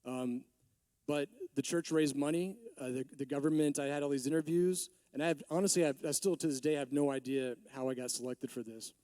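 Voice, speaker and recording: A man in his forties from Texas speaks to a summit crowd in a rambling, restarting way, his voice a touch hoarse. His tone is dark and mellow, set a little high for a man. The sound is dry and close. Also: fairly quick, no echo. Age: 40s. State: hoarse from allergies. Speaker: business owner giving a talk. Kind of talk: conference speech.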